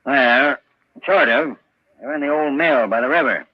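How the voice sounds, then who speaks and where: An actor playing an easygoing, folksy village constable in a 1940s radio drama, unhurried and matter-of-fact. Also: male voice, medium pitch, close to an everyday voice. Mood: reporting. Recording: muffled old radio with faint noise.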